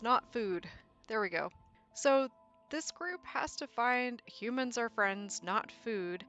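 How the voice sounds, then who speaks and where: A woman giving a conversational, explanatory narration as a content creator, telling it in an animated, emphatic way. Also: fairly high pitch, muffled recording. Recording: voice over background music. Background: background music.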